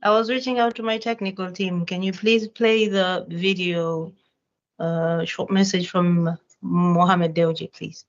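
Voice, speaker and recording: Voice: medium pitch, level tone, measured pace. Polite formal coordinator, muffled call audio, asking the tech team to play a clip. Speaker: event coordinator. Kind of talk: coordinating an online event.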